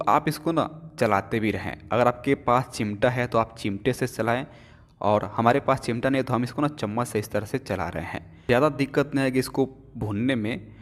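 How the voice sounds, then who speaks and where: A man giving a chatty home-remedy cooking demonstration in a home kitchen, often addressing viewers as friends. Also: mid-range voice. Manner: chatty, keeps saying "friends" and filler "na". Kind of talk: cooking demonstration with health tips. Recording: long reverb.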